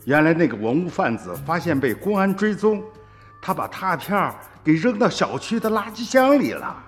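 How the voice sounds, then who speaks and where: Expressive old peasant grandfather. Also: high-pitched, normal speed. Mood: recounting. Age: older. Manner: earthy, expressive, colloquial. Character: emotional old peasant grandfather recounting his past. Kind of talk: Chinese radio drama acting and storytelling. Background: music.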